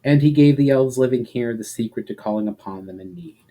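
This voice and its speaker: Brief: male storyteller, relaxed mellow tone, mid pitch, deliberate dramatic delivery for fantasy audiobook narration. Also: poor audio.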